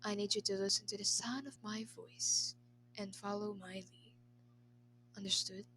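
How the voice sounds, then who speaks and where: A woman voicing a smooth-talking young nobleman who acts a devoted retainer, softly and earnestly, in a story. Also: medium pitch, level tone, normal speed, a little hiss or hum, lightly acted. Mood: soft, commanding. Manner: soft, coaxing, earnest.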